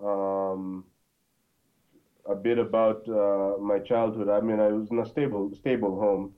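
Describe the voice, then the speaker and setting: A man from Congo talks as a podcast guest, his low voice coming through a tinny, phone-like line. He speaks haltingly, with plenty of fillers and restarts as he tells his story. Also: little pitch movement. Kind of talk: conversational interview.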